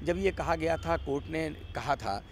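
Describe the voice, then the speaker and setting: A man's voice: fairly high pitch, unhurried, a little hiss or hum. A man giving political commentary as an interview guest, arguing emphatically with repeated phrases and rhetorical questions.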